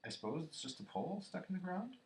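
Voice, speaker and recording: Guy doing a nice little boy leader in a storybook, earnest, higher voice, lots of echo. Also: big pitch swings, fast talker, a little hiss or hum. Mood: carefree musing. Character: kindly, confident young boy leading an expedition.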